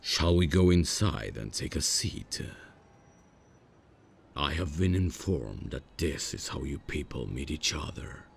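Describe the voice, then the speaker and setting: A man playing a stiff, awkwardly polite demon from hell in an ASMR comedy roleplay, speaking formally and haltingly in a deadpan way. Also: a little hiss or hum, heavily acted. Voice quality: very deep, gravelly. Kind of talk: ASMR roleplay, scripted comic monologue.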